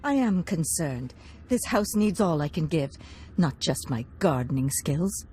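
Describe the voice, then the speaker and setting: An actress playing an earnest, coaxing noblewoman, gentle in manner, in a video game. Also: deep voice, big pitch swings, measured pace, a little hiss or hum. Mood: earnest, pleading. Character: earnest, seductive noblewoman, baron's discontented wife. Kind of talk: scripted video game acting.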